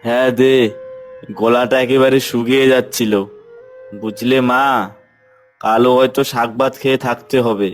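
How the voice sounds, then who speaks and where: A man's voice: mid-range voice, level tone, a little slow, pauses often, faint music behind, lightly acted. Casting: plain-spoken, worried rural father man acting, earnest and homely, middle register, for a cartoon tale. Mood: tired, worried.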